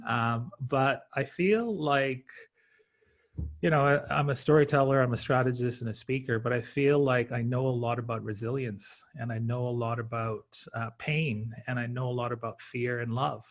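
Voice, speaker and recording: A man introduces himself on a panel in a mid-pitched voice with a gravelly creak. He is reflective and earnest, measuring out his phrases with hesitant pauses, like a practiced storyteller thinking aloud. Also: fairly even intonation. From born in Tanzania, later Canada and Australia.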